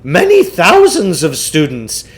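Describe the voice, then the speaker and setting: A man reading a children's novel, voicing a pompous, theatrical, eccentric salesman who lectures with deliberate self-importance. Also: high-pitched, huge pitch swings, exaggerated voice. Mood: boastful. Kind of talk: children's novel read aloud in character.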